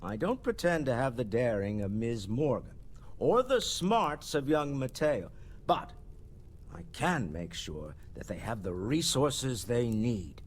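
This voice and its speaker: An actor voicing a genteel, wealthy businessman in a video game, speaking in a measured, self-assured and eloquent way. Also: medium pitch, big pitch swings, a little hiss or hum. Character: genteel wealthy older businessman turned philanthropist. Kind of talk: scripted video game dialogue.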